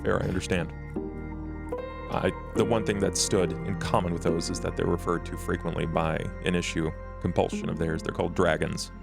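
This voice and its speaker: A man playing a grave, cautious, protective vampire mentor in a tabletop game, speaking in a formal, deliberate way. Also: low voice, level tone, creaky, over music. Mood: cautioning. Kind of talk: in-character tabletop roleplay.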